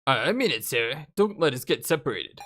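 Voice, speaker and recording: A woman's voice: rumbling low, big pitch swings, brisk, fairly bright tone, a little hiss or hum, exaggerated voice. A woman voicing a casual, protective teenage boy from a visual novel with a laid-back drawl. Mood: uneasy, reassuring. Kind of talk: visual novel character read-aloud.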